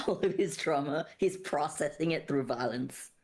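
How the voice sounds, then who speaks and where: A man's voice: fairly high pitch, unhurried. A man chatting casually as a player in a tabletop role-playing game. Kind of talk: casual chat during a game.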